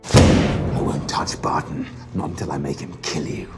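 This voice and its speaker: A man's voice: rumbling low, steady tone, measured pace. A man playing a sadistic, coldly cruel villain in a film, taunting and menacing. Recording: film dialogue under loud music.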